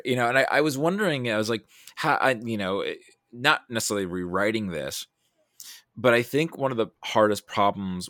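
A man talking casually about films, thinking aloud in a halting, animated way with self-quoting asides. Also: fairly low pitch, no echo, studio quality. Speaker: podcast guest discussing film. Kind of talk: casual film discussion.

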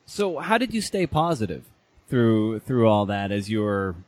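A man hosting a podcast interview, asking questions in halting, thoughtful phrases. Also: on the low side, big pitch swings, a little mellow. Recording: clearly noisy background ambience.

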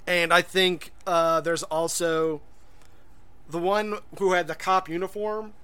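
A man's voice: sits high, flat delivery, takes it slowly, pushed and bright, clean tone. A man co-hosting a podcast in casual chat, rambling and hesitant, full of fillers and restarts. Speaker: podcast co-host.

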